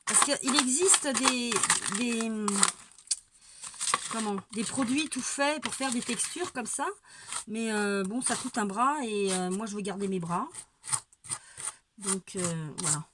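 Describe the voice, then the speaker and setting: A woman with a stuffy nose from a cold chats her way through a painting demo, her voice sitting a little high. She stumbles and repeats words, tossing in dry little jokes as she goes. The home recording carries steady background noise, with a dog barking now and then. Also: slow pace. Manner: rambling and hesitant, with repeated words and wry asides. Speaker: amateur painter showing her technique. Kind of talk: casual hands-on painting demonstration.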